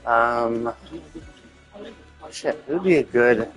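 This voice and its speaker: A man's voice: mid-range voice, very slow, soft background music. A man commentating casually on a live game stream, thinking aloud in a hesitant, rambling way and repeating himself, with casual swearing.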